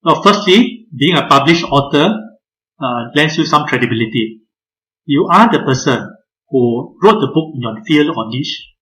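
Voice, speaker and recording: A man, giving a measured webinar presentation over a video call, pausing often on "uh". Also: fairly high pitch, lively intonation, fairly bright tone, poor audio. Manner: measured, frequent "uh" hesitations. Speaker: guest speaker.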